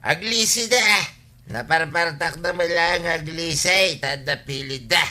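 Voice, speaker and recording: A man's voice: a little high, measured pace, pushed and bright. A man playing a stubborn drunken villager in an Ilocano comedy radio drama, slurring and belligerent. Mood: drunken defiance.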